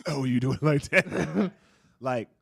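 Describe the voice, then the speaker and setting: A man with a deep voice chats casually as a podcast co-host, animated and full of slang. His delivery is lively, and the sound is dry and close. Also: slow pace, vocal fry, husky, no echo. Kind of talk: casual chat.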